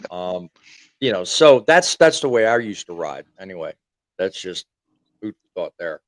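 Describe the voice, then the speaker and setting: A man hosting a live casual panel chat, a former truck driver telling stories with animated delivery. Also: sits low, normal speed, no echo, rough recording.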